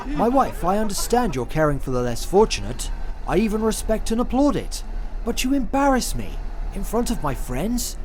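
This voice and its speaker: A man's voice: high voice, big pitch swings, moderate pace, darkish tone, slight background noise. A man playing a proud young medieval king and easily embarrassed husband in an audio drama, with formal, theatrical archaic speech. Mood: stern, embarrassed.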